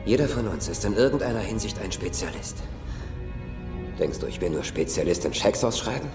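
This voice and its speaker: Smooth ruthless film villain, lowish voice, controlled, echoey w music behind. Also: male voice, animated, a little fast. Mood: persuasive. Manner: persuasive, controlled. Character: smooth-talking, ruthless male villain, wealthy backer. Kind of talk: film dub acting.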